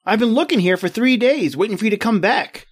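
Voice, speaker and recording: Man playing a brash, high-voiced jovial newspaperman. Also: brisk, slightly rough. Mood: chummy, eager. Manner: slangy, excitable, chummy. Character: brash, jovial male American newspaperman, eager for nightlife. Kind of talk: old-time radio drama acting.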